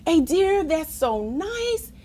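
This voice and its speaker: A woman reading a children's picture book aloud in an expressive, deliberate sing-song way. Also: very high voice, a little hiss or hum. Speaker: storybook reader.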